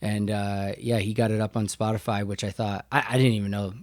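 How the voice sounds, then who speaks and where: A man talking casually as a podcast guest, informal and halting as he works through his thoughts. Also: fairly low pitch, flat delivery, no echo. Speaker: podcast guest, business owner. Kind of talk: casual conversational interview.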